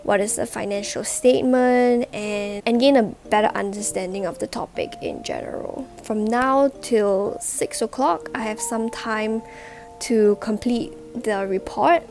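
A woman's voice: a little high, unhurried, a little mellow. A woman in her twenties with a flu-stuffed, nasal voice, chatting to camera about her law internship in a casual vlog, explaining things step by step with plenty of fillers. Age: 20s. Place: indoors, home room. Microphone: wired earphone mic.

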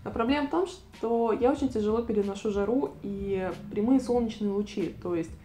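A woman's voice: medium pitch, brisk, warm tone, reverberant. A woman in her twenties from Latvia, chatting casually and self-ironically on a talking-head vlog with a lapel mic. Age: 20s. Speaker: vlogger. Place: living room with bookshelf, indoors.

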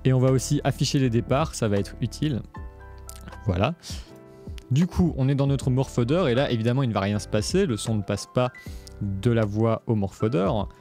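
A man's voice: mid-range voice, normal speed, mellow. A man presenting a music production tutorial in a casual, step-by-step explanatory way. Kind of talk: casual screencast tutorial narration.

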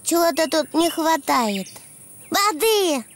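Маленького ослика с очень высоким голоском. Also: female voice, very high voice, big pitch swings, slow, a little hiss or hum, exaggerated voice. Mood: playful, busy. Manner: simple, childlike, self-narrating delivery. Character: cheerful little boy donkey, playful and imaginative. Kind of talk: children's cartoon dubbing. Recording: music behind the voice.

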